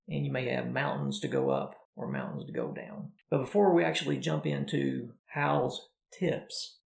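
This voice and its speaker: A man co-hosting a podcast, talking through a story in a relaxed, conversational way. His voice is heavily gravelly, with a lot of vocal fry, and his pitch moves about a lot. The sound carries a noticeable room echo. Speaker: podcast co-host. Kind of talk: casual podcast chat.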